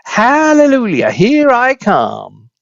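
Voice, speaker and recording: A screechy old grandmother, played by a man, high and gleeful, from a storybook. She is bossy and exclamatory, stretching out her words. The recording is slightly dull. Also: huge pitch swings, slow, creaky, no echo, heavily acted. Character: shrieking, gleeful, demanding ancient scrawny grandmother.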